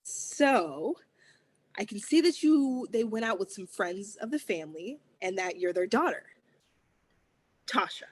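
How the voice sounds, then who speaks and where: A woman's voice: medium pitch, animated, a little slow, slightly rough. A woman reading an eager, pleading teen girl. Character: pleading scheming teenage girl. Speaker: actor in a table read. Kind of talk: table read acting.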